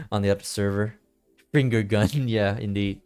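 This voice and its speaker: Man, game streamer, clear lowish voice, casual rambling commentary, halting and repetitive, music in the background. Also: a little mellow. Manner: rambling, halting, repeats phrases as he thinks aloud.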